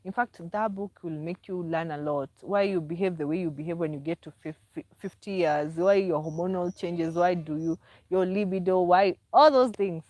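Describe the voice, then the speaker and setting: A woman's voice: on the low side, normal speed, a little mellow, no echo. A Kenyan woman hosting a casual livestream, chatty and repetitive as she greets viewers by name and promotes her books. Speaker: livestream host and author.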